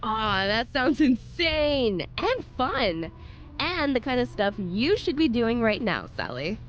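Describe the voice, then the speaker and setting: Very animated young woman wrestler. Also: a little high, slow pace, dull audio, slight background noise. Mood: encouraging. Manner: expressive, conversational. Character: chatty, scheming young woman wrestler, persuasive friend. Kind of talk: casual game cutscene acting.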